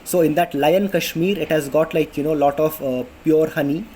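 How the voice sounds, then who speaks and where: A young Indian man in his late twenties talks through home health tips in a loose, chatty way, with lots of "you know" and restarts. His voice is fairly high for a man yet mellow and soft-edged. Faint room hum sits behind him. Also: steady tone, moderate pace. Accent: Indian English. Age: 20s. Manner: chatty, many fillers and restarts. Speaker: vlogger. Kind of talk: casual health tips vlog. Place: apartment in a city under flight paths. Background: planes passing overhead.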